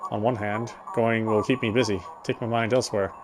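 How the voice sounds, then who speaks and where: A man reading a visual novel's text aloud as dramatic narration, solemn and a little halting. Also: lowish voice, steady tone, heavy background noise. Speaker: game player reading story text aloud.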